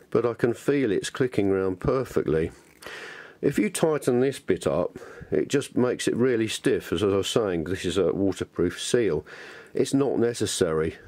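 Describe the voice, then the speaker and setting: A man's voice: lowish voice, a little mellow. A man presenting an informal hands-on tutorial, thinking aloud in a chatty, enthusiastic way.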